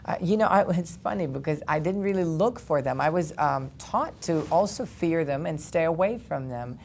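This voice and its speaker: A young man speaks English with a gravelly, creaky edge. He tells his story earnestly, picking up speed in animated bursts, with a faint rumble in the background. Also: normal speed. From Ukraine. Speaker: guest. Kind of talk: personal testimony in a studio interview.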